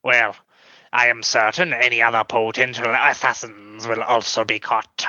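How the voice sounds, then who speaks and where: A man acts an aloof, proud alien officer for a sci-fi radio play. His voice is very bright and forceful at a middling pitch, with formal, clipped and dismissive phrasing. The audio is slightly dull. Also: flat delivery, moderate pace. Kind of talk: sci-fi radio drama dialogue.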